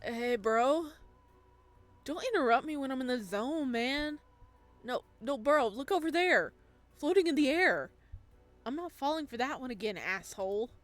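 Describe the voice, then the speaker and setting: A loud young drunk student from a visual novel, put on by a woman reading it. He talks in bro slang and is combative and belligerent. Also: sits high, lively intonation, a little slow, soft background music, voice pushed up. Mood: agitated.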